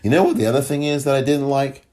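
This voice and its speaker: A middle-aged man hosting a solo commentary podcast, animated and sarcastic, his voice cracking from excitement and leaping high when he gets indignant. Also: fast talker. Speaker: podcast host.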